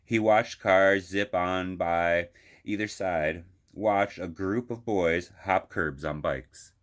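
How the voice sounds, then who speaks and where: A man's voice: sits low, slow, fairly bright tone. A man reading a children's picture book aloud as a librarian would, expressively, drawing out phrases for emphasis.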